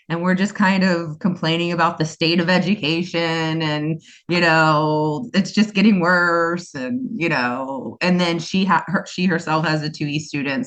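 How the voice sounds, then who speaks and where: A talkative woman with a US East Coast accent speaks in a slightly low voice. She is in her forties and sounds enthusiastic as she chats casually with a host, and her thoughts tend to run on. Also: fairly bright tone. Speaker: guest, school co-founder. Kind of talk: casual interview conversation.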